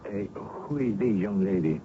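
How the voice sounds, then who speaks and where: An actor playing a wary, formally courteous Mexican silversmith and shady dealer, speaking stilted English in an old-time radio drama. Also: male voice, fairly low pitch, normal speed, natural voice. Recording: dull, noisy old radio recording.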